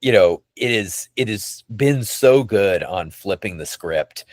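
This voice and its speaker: A man's voice: lowish voice, lively intonation, takes it slowly, no echo, studio quality. A man hosting a podcast in casual fan chat, enthusiastic and effusive, rambling and circling back over his phrases. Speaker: podcast host.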